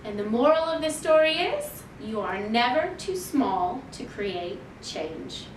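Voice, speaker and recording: A woman reading a rhyming picture book aloud to young children in a measured, deliberate storytelling manner. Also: sing-song. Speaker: children's library storytime reader. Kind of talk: expressive picture-book read-aloud in rhyme. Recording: roomy, distant mic, faint noise and rumble.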